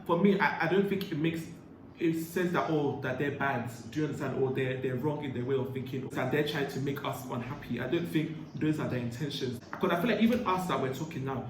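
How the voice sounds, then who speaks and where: A young British man with Igbo as his first language, hosting a casual group chat, talking quickly and stumbling over repeated starts with a joking swagger. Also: mid-range voice. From Britain. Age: young adult. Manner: fast, repetitive stammering starts, playful boasting. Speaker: channel host. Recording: echoey room, faint ambient noise.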